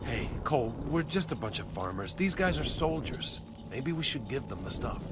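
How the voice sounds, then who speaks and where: A man's voice: medium pitch, sing-song, normal speed, noisy background, natural voice. An actor playing a blunt, pessimistic male colonist farmer in a video game. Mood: urging. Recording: game dialogue over loud outdoor ambience.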